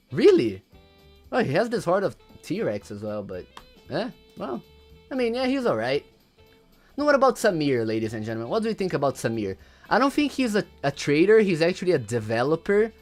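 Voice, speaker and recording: Young male streamer, Portuguese first language, slightly high voice, rambling casual talk, faint music. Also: darkish tone. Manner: rambling, repeats words, reads chat aloud. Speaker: livestreamer. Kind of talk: casual livestream commentary.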